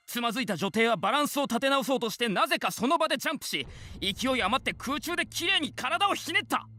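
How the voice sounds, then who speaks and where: A man playing an excitable, mock-dramatic young anime character, giving bombastic theatrical commentary in a visual novel. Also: extremely high pitch, heavily acted. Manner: bombastic, rapid-fire.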